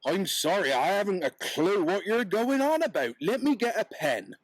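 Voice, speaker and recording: A man's voice: sits high, very animated, normal speed, dry recording, pitched above the performer's own voice, low-quality recording. Man doing a bumbling peddler. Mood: earnest. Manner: earnest, bumbling, polite. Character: cheery country peddler man, earnest and easygoing.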